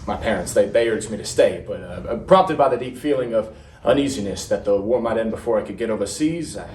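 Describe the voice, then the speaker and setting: Man performing a young war veteran, haunted, halting, repeated words, dramatic stage monologue, echoey room, faint noise. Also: on the low side, animated, darkish tone, lightly acted. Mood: earnest, uneasy. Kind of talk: serious dramatic interpretation monologue. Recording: roomy, distant mic, faint noise.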